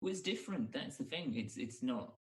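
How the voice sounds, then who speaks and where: A man sits a little way from the microphone, chatting casually about films. He has a medium-pitched voice and feels his way through each thought, often rewording it as he talks. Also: lively intonation, fairly quick, distant mic. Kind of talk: casual film chat.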